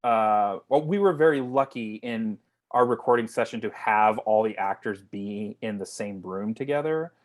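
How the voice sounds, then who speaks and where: A man's voice: fairly low pitch, moderate pace. Informal, rambling man in conversation. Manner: rambling, informal, repeats himself. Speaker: writer-director. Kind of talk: casual Q&A discussion. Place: video call.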